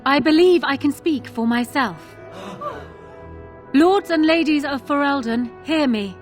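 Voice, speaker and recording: A woman's voice: sits high, measured pace, soft background music. An actress playing a resolute young queen addressing her lords in a fantasy game, formal and declamatory. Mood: resolute accusation. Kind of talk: dramatic fantasy game oratory.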